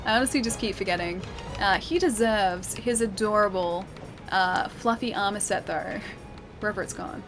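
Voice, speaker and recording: Australian woman streamer, mid-pitched, chatty and giggly, game music underneath. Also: normal speed. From Western Australia. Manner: chatty, laughs often, reads and answers chat. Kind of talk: casual gameplay chat with viewers.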